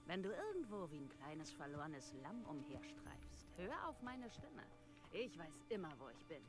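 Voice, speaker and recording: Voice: medium pitch, very animated, normal speed, natural voice. An actor playing a self-assured, boastful guide in a video game, with a theatrical delivery. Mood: confident. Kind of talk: video game character acting. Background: music.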